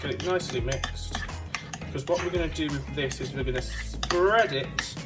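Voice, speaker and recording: A woman's voice: low voice, moderate pace. A woman presenting a recipe step by step in a playful, theatrical way with comic asides. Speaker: cooking show presenter. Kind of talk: instructional cooking demonstration with comic asides. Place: home kitchen, indoors. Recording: prominent music over kitchen clatter. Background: loud music, cutlery, pots and pans.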